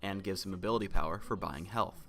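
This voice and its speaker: A man's voice: low-pitched, fast talker, vocal fry, fairly bright tone. A man narrating a scripted game character guide in an instructional, matter-of-fact manner.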